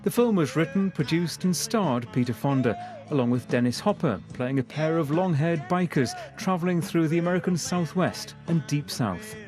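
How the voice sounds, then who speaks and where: A man's voice: fairly high pitch, darkish tone. A man narrating a scripted news report as a correspondent. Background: music.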